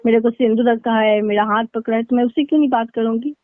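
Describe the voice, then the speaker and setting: High pitched lady on a tinny phone line, indignant, arguing and repeating herself. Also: steady tone, quick pace, no room sound. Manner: indignant, repeats her challenges. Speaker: caller on a three-way personal phone call. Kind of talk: heated personal phone conversation.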